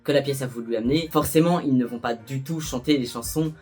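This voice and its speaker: A young man giving a casual, chatty review of a musical, animated and self-mocking. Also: mid-range voice, brisk, breathy edge. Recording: soft background music.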